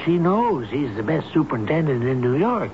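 A man's voice: on the high side, sing-song, moderate pace. An actor playing a stubborn, sentimental seventy-year-old elevator man in a radio drama, earnest and folksy. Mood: proud, fond. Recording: dull old radio broadcast, faint noise.

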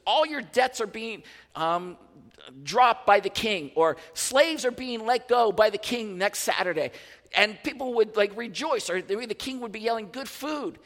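A man in his fifties, a pastor, preaching a sermon to his congregation in an animated, conversational way with asides and restarts. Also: sits high, long reverb tail. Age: 50s. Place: large church hall.

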